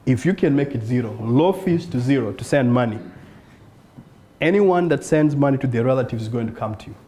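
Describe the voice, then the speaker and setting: A man from South Sudan, a startup founder, giving an earnest pitch talk to an audience at an evening event. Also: mellow. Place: event room in front of an audience.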